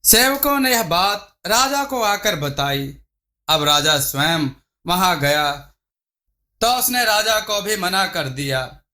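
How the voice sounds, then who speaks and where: A man's voice: high-pitched, sing-song, slow, sharp tone. A man reading a scripted folk tale as a storyteller. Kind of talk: scripted narration of a Hindi moral folk tale.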